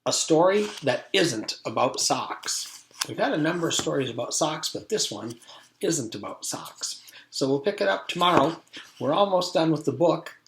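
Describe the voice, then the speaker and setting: A man's voice: a little high, big pitch swings, normal speed, noisy background. Echoey man reading a storybook aloud. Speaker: teacher reading aloud to a class. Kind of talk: storybook read-aloud narration. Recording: echoey room.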